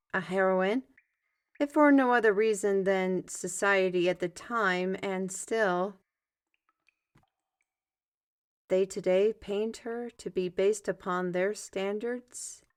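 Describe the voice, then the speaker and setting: Casting: woman as a tired fantasy artist and storyteller, unhurried delivery, mid-range voice, dry and musing. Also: medium pitch, close to an everyday voice. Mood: musing, storytelling. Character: weary adult female fantasy artist, wry storyteller. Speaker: voice actor in a fantasy audio roleplay. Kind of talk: in-character storytelling and dialogue in audio drama.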